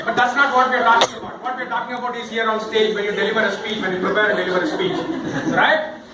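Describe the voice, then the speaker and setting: A man with an Indian accent giving an interactive public speaking training talk, jokey and animated, often checking with his listeners. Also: high-pitched, quick pace. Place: indoor room in front of a live group. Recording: very echoey room, distant mic, noisy.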